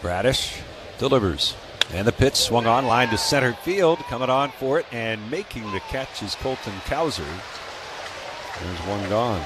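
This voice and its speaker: American baseball announcer over clear background noise. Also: male voice, mid-range voice, expressive, unhurried. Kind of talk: live radio play-by-play commentary. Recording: clearly noisy live ballpark broadcast.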